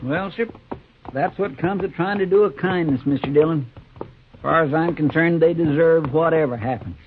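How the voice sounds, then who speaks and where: High, folksy cowboy sidekick by an actor, tinny radio. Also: male voice, moderate pace, natural voice. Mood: indignant. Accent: Western American drawl. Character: indignant, folksy, loyal deputy sidekick. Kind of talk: 1950s radio western acting. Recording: tinny, hissy old radio recording.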